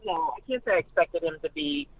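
A woman with an American accent giving conversational sports analysis as a guest reporter, haltingly and often repeating words. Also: low voice, expressive. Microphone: telephone. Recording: tinny, narrow phone line.

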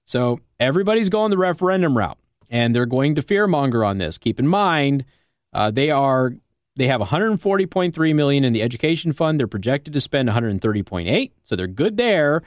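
A man's voice: mid-range voice, big pitch swings, fairly quick, no room sound, clean studio sound. An American man hosting talk radio, giving opinionated, emphatic commentary in a conversational, argumentative way, often checking in with the listener. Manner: emphatic, argumentative, rhetorical check-ins like "okay?". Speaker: radio talk show host. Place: radio studio.

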